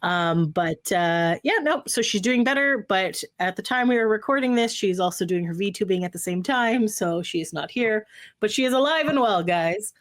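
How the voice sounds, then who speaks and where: Woman, 40s, podcast co-host, casual chatty storyteller, mid-pitched. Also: moderate pace. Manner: chatty, animated storytelling with acted-out quotes. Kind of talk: casual chat.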